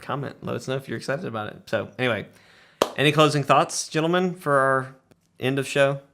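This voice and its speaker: A man's voice: medium pitch, fairly quick, a bit bright. A man hosting a casual podcast with a jokey, playful way of talking. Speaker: podcast host.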